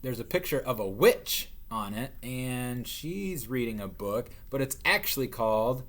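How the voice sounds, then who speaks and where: A man reading a storybook aloud to young children as their teacher, expressively stretching words and pausing to explain. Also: medium pitch, lively intonation, fairly bright tone.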